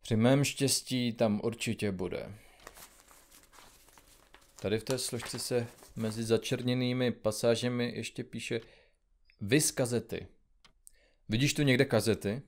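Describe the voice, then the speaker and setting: A man's voice: mid-range voice, fairly even intonation, pauses often, natural voice. An actor playing a brooding, exasperated male detective in an audio drama, muttering as he goes. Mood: tense, frustrated. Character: brooding male detective searching for his lost brother.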